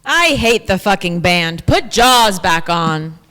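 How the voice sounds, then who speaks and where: An actress playing a hostile, preachy, foul-mouthed heckler in a staged reading, loud and scornful as she declaims. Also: mid-range voice, big pitch swings, slow, heavily acted. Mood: belligerent. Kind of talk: live staged play reading. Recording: echoey theatre hall, distant voice.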